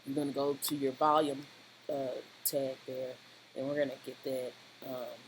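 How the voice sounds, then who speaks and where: An informal software instructor with a medium-pitched voice talks through each step on screen. The delivery is rambling and halting, with frequent little check-ins. The recording sounds homemade, with noise behind the voice. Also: flat delivery. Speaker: tutorial presenter. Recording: clearly noisy home-style voice-over recording.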